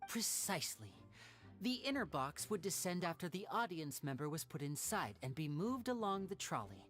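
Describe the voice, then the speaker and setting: A woman's voice: on the low side, sing-song, normal speed. A woman voicing a suave, playful and confident young male stage magician in a video game. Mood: confident, explaining. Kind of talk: video game dialogue acting. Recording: faint music behind the voice.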